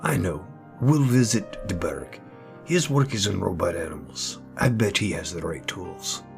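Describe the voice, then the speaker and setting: Man acting as practical tinkerer, gravelly edge, swooping pitch, blunt, questioning, audio drama. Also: expressive, measured pace, music in the background, full character voice. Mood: grudging.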